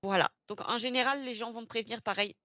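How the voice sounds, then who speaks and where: A woman's voice: a little high, steady tone, quick pace, bright, forceful tone, dry recording, muffled recording. A woman explaining things step by step in an informal, casual roleplay chat. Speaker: experienced garage employee training a new recruit in online roleplay.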